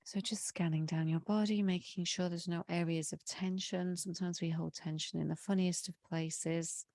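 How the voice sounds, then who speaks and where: A woman leading a guided meditation in a slow, soothing way, her voice slightly croaky from a cold. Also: on the low side, steady tone, a little mellow, no room sound. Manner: slow, soothing, drawn-out phrasing. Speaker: meditation leader.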